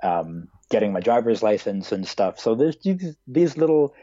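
A man's voice: on the low side, big pitch swings, moderate pace, warm tone, no echo, lo-fi sound. A man in his twenties who grew up in Hong Kong, answering interview questions in a casual, hesitant way with many fillers. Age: 20s.

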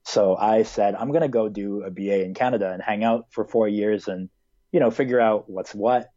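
A man's voice: lowish voice, moderate pace, warm tone, no room sound, dull audio. A man in his twenties who grew up in Hong Kong, answering interview questions in a casual, hesitant way with many fillers. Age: 20s.

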